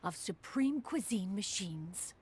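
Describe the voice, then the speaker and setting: A woman's voice: medium pitch, expressive, slow pace, a little mellow, slightly rough, no room sound, full character voice. A woman voicing a haughty, proud immortal crane master in a video game, speaking in a pompous, wordy, archaic manner. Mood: self-satisfied. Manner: pompous, wordy, calls herself "one". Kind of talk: English video game voice acting.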